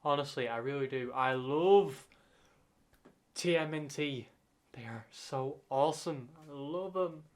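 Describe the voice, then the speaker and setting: A man reacting casually off the cuff, in a halting way, often repeating and rephrasing himself. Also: fairly high pitch. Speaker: reactor and channel host.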